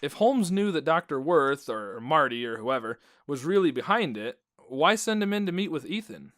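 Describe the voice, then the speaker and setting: A man's voice: a little high, very animated, normal speed, a bit bright, dry recording, clean studio sound. A man hosting and announcing a scripted radio drama in an interviewer's conversational style. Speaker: host and announcer.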